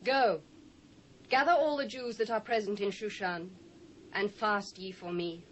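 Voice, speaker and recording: A woman acting a courteous, resolute British queen in a scripture audio drama. Her voice is medium in pitch and measured, over faint cassette hiss. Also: close to an everyday voice, low-quality recording. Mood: grave resolve. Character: dignified young Persian queen, resolute and courteous.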